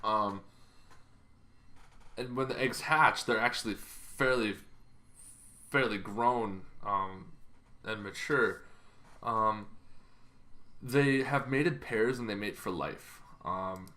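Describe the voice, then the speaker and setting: A man's voice: on the low side, takes it slowly, pauses often, bright, forceful tone. A man hosting an informal, unscripted explainer, thinking aloud in a rambling, hesitant way. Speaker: YouTube host giving game lore advice.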